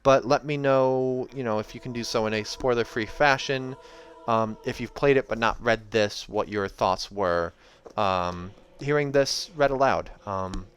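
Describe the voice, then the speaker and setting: A hoarse man reading a novel aloud and chatting casually between passages, with joking asides and many fillers. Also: medium pitch, measured pace. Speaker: reader and channel host. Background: soft game music.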